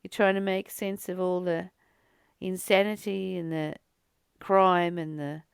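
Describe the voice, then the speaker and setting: Woman with creaky voice, earnest spiritual teacher. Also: lowish voice, flat delivery, a little slow, no room sound, clean studio sound. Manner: earnest, exhorting, with repeated phrases for emphasis. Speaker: spiritual teacher reading and commenting on a text. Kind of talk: spiritual reading with personal, heartfelt commentary.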